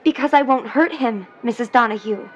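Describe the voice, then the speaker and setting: A woman's voice: on the high side, moderate pace, muffled recording, natural voice. An actress playing an earnest, wistful young woman who claims to be a mermaid in a 1950s period audio drama. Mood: earnest, defiant.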